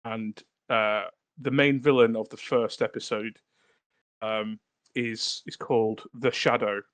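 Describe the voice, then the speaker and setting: Halting, chatty male podcast co-host. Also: on the low side, fairly even intonation, a bit bright, no room sound. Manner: halting, rambling anecdotes with fillers. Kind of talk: casual writing-podcast chat.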